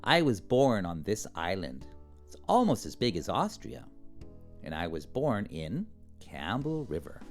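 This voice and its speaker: A man from Canada, giving a video lesson to young pupils in slow, simple, friendly speech. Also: on the low side, very animated, soft background music. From Canada, British Columbia. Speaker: English teacher for children. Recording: roomy echo.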